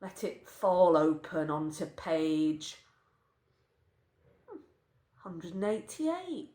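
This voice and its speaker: A woman reads a story aloud in a wry, expressive way. Her pitch swings widely while her pace stays extremely slow, with deliberate pauses, and the room echoes around her. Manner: wry, animated, deliberate pauses between short phrases. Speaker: reader of a short story. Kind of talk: expressive live story reading. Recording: roomy, echoing sound.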